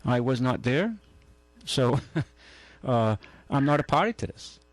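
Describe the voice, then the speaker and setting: A man's voice: mid-range voice, expressive, slow, no echo. A man hosting a talk radio show, telling long anecdotes with many restarts and acting out the quotes. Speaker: radio show host and car dealer. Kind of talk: casual on-air storytelling. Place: radio studio.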